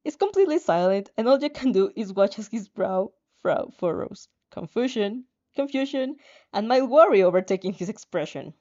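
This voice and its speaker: A woman with a mellow, relaxed voice reads fan fiction aloud in a casual way. She is giggly, trips over words and drops in her own reactions. The recording sounds a little dull and lo-fi. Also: medium pitch, moderate pace. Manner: giggly, stumbles over words while reading.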